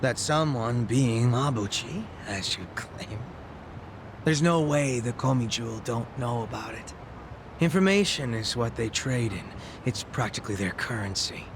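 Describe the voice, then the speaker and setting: An actor playing a sly, mocking gang boss who makes gleeful threats in a video game dub. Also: male voice, mid-range voice, animated, measured pace, noisy background. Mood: knowing, sly. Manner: casual profane taunting.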